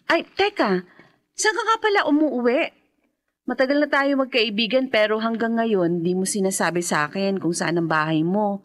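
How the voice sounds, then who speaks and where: A woman playing a kind, gentle, curious young woman in a radio drama. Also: a little high, normal speed, close to an everyday voice. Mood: curious, fond.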